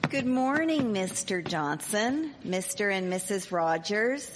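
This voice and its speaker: A genteel, highbrow society hostess of the 1870s, acted by a woman in a live radio play. Her formal speech sits at a middle pitch and echoes around a hall. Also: very animated, slow pace, noisy background. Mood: gracious. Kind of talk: live radio drama acting.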